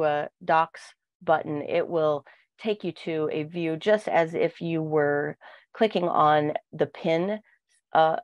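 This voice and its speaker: A woman with a cold, sounding nasal, walking through software on a screen share with plenty of fillers. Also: low-pitched, no echo, highs cut off. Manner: steady explaining with frequent fillers. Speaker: product trainer. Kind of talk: software walkthrough.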